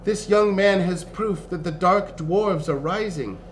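A man's voice: sits high, measured pace, a little hiss or hum. A man voicing a young, proud elven princess who speaks with polite, formal pleading in a fantasy story. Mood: polite urgency.

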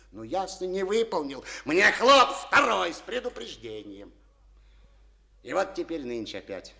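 A grumpy stubborn farm boss, folksy sayings, voice swings up and down a lot, old radio play. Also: male voice, a little high, vocal fry, bright, forceful tone, exaggerated voice. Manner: folksy, bitter, full of proverbs. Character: aging male collective-farm chairman, stubborn, resentful. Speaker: collective farm chairman. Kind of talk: 1950s studio radio play acting.

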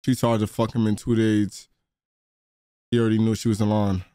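A man's voice: on the low side, little pitch movement, normal speed, mellow, clean tone, no room sound, studio quality. A man giving casual reaction commentary on a game stream, in slangy, profane talk.

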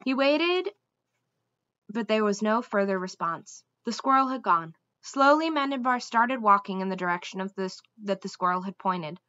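A woman's voice: a little high, fairly bright tone, dry recording, lo-fi sound. A young adult woman narrating a children's storybook expressively, like a teacher reading aloud. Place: small room indoors at home.

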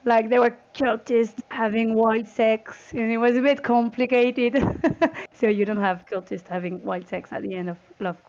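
A woman in her thirties chatting casually on a panel through a headset, in animated, broken phrases. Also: a little high, a little slow. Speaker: game developer, panelist. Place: home room on a video call. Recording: dull compressed video-call audio.

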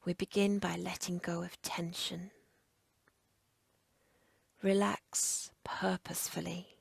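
A woman with a breathy, husky near-whisper guides a relaxation meditation as a yoga nidra instructor, in short, measured, soothing phrases. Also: lowish voice, little pitch movement, dry recording.

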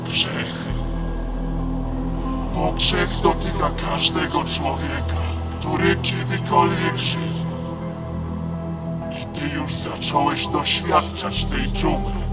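A man's voice: fairly high pitch, fairly even intonation. A man playing a sinister cardinal in a radio drama, ominously preaching of sin and doom. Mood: menacing. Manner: ominous, sermonising, deliberate. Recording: loud music under the voice.

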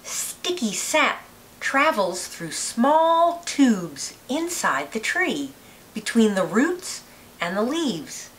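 High-pitched woman reading slowly to children. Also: a little hiss or hum, rough recording. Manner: deliberate, expressive phrasing for children. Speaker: read-aloud narrator, teacher. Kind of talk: children's picture book read-aloud.

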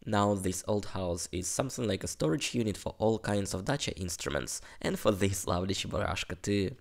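A Russian-accented man in his twenties talks in a relaxed, chatty vlog manner. His voice sits a little low, and his phrasing sometimes pauses and restarts as he searches for words. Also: creaky. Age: 20s. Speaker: vlogger giving a house tour. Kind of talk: casual vlog narration. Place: outdoor garden plot. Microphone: phone at arm's length.

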